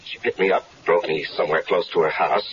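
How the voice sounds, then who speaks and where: A man's voice: sits low, little pitch movement, fast talker, a bit bright. An actor playing an easygoing stranger who tells his story in a wry, casual way, in a 1970s radio drama. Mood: storytelling. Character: easygoing stranger caught up in a plot. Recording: dull lo-fi 1970s radio recording.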